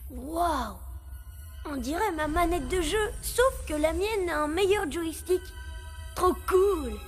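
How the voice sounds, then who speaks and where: Cheeky cartoon kid, boy, really high voice, excitable, bit of music. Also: slow pace, exaggerated voice. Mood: excited. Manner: teasing, slangy, excitable. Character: cheeky, adventurous young boy. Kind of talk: dubbed cartoon acting.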